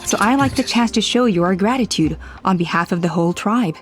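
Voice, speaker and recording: Woman playing a polite formal tribe elder in a game, calm middle voice, light music behind. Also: medium pitch, close to an everyday voice. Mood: earnest. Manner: formal, measured, apologetic.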